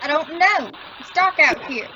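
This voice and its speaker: A woman's voice: high voice, animated, normal speed, pushed and bright, highs cut off, a little hiss or hum. A woman playing a whiny, cheeky child in an old-time radio family comedy. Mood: grumpy. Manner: whining, complaining.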